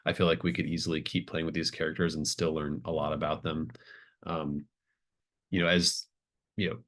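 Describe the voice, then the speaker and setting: Low-voiced man chatting casually. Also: little pitch movement, moderate pace, a bit bright. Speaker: game master and game writer. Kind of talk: casual post-game chat.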